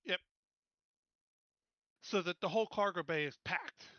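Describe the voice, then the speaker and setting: Guy player in a tabletop game, sharp highish voice, asks stuff bluntly, dry dullish mic. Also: unhurried, no room sound, dull audio. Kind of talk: casual chat.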